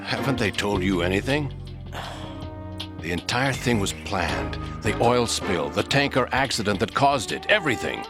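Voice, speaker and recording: An actor playing a self-assured military insider in a video game, explaining things in an assertive way. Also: male voice, lowish voice, expressive, moderate pace, over music, close to an everyday voice. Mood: confident, explanatory. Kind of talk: video game cutscene acting.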